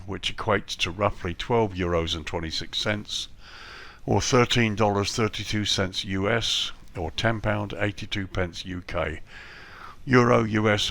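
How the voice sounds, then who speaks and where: A man with a cold and a slightly hoarse voice, reviewing flight simulator scenery in a relaxed voice-over full of ums and repeated praise. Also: low voice.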